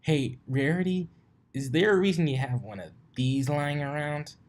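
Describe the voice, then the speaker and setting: A man's voice: on the high side, moderate pace, pitched above the performer's own voice, lightly acted. A man voicing a brash, teasing young female pegasus pony from a cartoon story, cocky and easily rattled. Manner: cocky, mocking, exclamatory.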